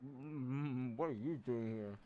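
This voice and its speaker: A man's voice: mid-range voice, slow pace, phone-quality sound, a little hiss or hum. A man playing a video game, giving casual, offhand commentary and reading along. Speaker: gamer playing a story quest. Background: game music.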